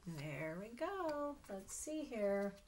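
A middle-aged woman reading a picture book aloud to children, expressive and sing-song, with casual asides. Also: medium pitch, very slow, a little hiss or hum. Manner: expressive, sing-song, asking the listeners questions. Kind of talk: animated read-aloud storytelling with casual asides. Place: small room at home with sloped ceiling.

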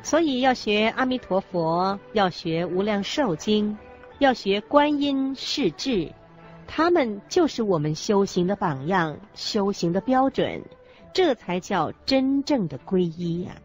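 A woman's voice: mid-range voice, sing-song, a little slow, a little mellow. A woman co-narrating a scripted religious radio drama, phrasing each teaching carefully. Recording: muffled audio with music bed. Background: background music.

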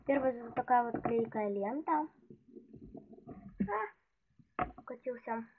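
A woman's voice: fairly high pitch, normal speed, husky. A woman vlogger showing her stationery at a desk, talking in a chatty, hesitant way while she is ill. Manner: chatty, hesitant, many fillers. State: ill, voice affected. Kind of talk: casual show-and-tell. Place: indoors at a desk, hands on table. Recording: tinny phone-like audio with loud noise.

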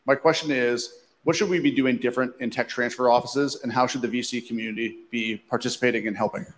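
A man in the audience introducing himself and asking a question in a quick, businesslike way. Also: mid-range voice, steady tone. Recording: long hall reverb.

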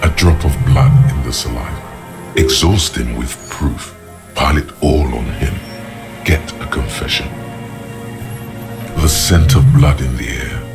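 An extremely deep male narrator speaking as a detective's inner thoughts in a video game. He is ominous, deliberate and urging, with music clearly underneath. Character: brooding inner narrator voice of a troubled detective's mind. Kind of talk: scripted second-person game narration.

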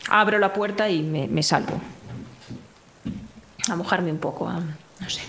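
A woman with a Spain accent improvising as a tabletop role-player, haltingly narrating her character's actions and mouthing little sound effects. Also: lowish voice, a bit bright. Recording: echoey room, clear background noise.

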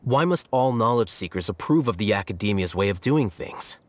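An actor playing a cool, self-assured young scholar in a video game, measured, dry and articulate. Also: male voice, fairly low pitch, lively intonation, slight background noise, lightly acted. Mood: unruffled. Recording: faint music under the voice.

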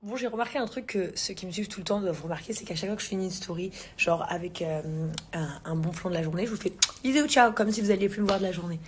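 A woman's voice: mid-range voice, fast talker, a little hiss or hum. A woman in her thirties, born in England, chatting casually to her followers into a phone camera in a large living room, with lots of fillers, restarts and slang. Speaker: vlogger. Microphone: phone camera at arm's length.

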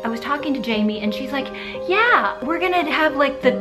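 A middle-aged woman with a fairly high voice chats to the camera at home. She is candid and self-deprecating, in a casual craft vlog style, with music clearly playing behind her. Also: lively intonation, brisk. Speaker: DIY craft vlogger. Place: indoors, furnished room at home.

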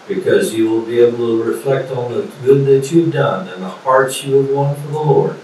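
An older man, a preacher, gives an earnest sermon with deliberate repetition over a video call. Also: medium pitch, a little mellow. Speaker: professor and preacher. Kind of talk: sermon, graduation address. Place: indoor study or office, video call. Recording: echoey video call, distant, some noise.

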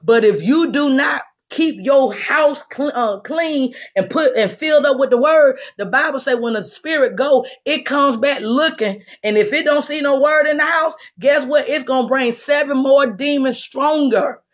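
A middle-aged woman preacher giving a fervent, emphatic livestreamed deliverance sermon in a husky voice. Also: sits high, normal speed, hardly any pauses. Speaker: preacher, self-styled apostle leading prayer. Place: room in a house, indoors.

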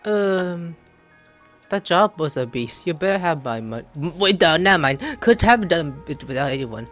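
A man's voice: a little high, lively intonation, moderate pace, fairly bright tone, soft background music. A man doing casual, offhand gameplay commentary from a room, joking and reading choices aloud. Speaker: gaming streamer. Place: indoor room, webcam setup.

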